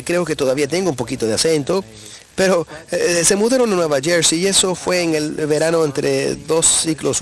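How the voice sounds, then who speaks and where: A man from Texas, a guest in an interview, telling his own faith story in an earnest, reflective way with frequent hesitations. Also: mid-range voice. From Texas, USA. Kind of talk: personal faith testimony in conversation.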